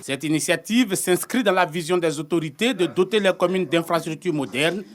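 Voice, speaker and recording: A man's voice: a little high, a little fast. A man narrating a scripted television news report as a reporter.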